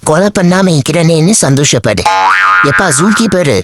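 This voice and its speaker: Loud music runs behind an irritated tiger played by a comic dubber. It grumbles and threatens in a middling pitch, a cartoonish animal at the end of its patience. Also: very animated, moderate pace, lightly acted. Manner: grumbling, complaining. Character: irritated, threatening tiger fed up with a clingy cagemate. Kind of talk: comic dub of animal clips.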